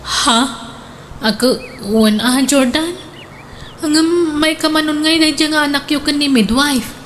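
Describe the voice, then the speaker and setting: A high female voice as a touchy single woman in a radio comedy, sulking and sighing between phrases. There is faint noise in the recording. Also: measured pace, fairly bright tone. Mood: sulky. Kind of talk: comedic radio drama acting.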